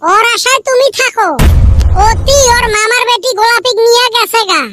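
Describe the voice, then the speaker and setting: A super high squeaky village kid, grumbly n teasing, north bengal accent, noisy audio. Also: normal speed. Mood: teasing complaint. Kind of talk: comic cartoon dialogue.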